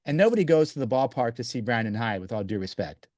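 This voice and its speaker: A man's voice: mid-range voice, expressive, quick pace, a little mellow, no echo, studio quality. A man in his forties, raised in Belgium, answering interview questions about his book with eager, stop-start phrasing. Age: 40s. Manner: enthusiastic, restarts often, says "you know". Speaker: author guest.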